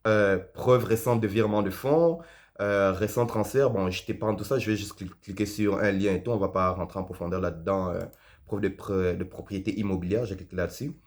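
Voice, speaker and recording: A man hosting a casual step-by-step tutorial, reading form questions aloud and thinking out loud as he speaks directly to the viewer. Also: fairly low pitch, fairly even intonation, a little fast. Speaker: host of a tutorial video.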